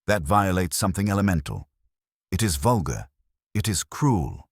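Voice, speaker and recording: A man voicing a gruff, haughty, irritable genius detective in an audiobook, with clipped, pompous, formal speech. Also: sits low, sing-song, normal speed, dry recording, clean studio sound, pitched above the performer's own voice, exaggerated voice. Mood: solemn indignation.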